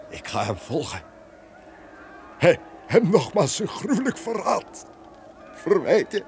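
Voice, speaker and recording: An actor playing a defiant, villainous tyrant in an old-fashioned radio drama, theatrical and cruelly gloating. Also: male voice, fairly low pitch, background noise, exaggerated voice. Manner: theatrical, sneering, cruel laughter.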